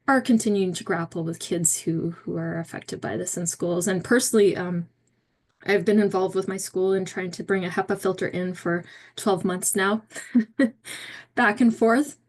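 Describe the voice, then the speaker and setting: A woman's voice: on the low side, steady tone, moderate pace, a little mellow. A middle-aged woman giving an informal, earnest and empathetic talk as an advocate. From Canada. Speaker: long COVID advocate. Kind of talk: informal unscripted advocacy speech.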